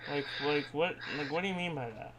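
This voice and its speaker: A man's voice: a little high, a little fast, background noise. A man chatting bluntly and informally as co-host on a casual wrestling podcast.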